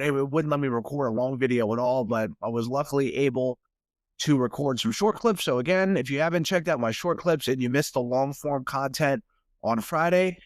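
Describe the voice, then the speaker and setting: A young man hosts his own sports podcast, his voice stuffy from blocked sinuses. He talks at a middling pitch in a loose, rambling way, stumbling over repeated words as he restarts his thoughts. The sound is dry and close, with no room around it. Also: no room sound. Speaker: podcast host. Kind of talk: solo sports podcast talk.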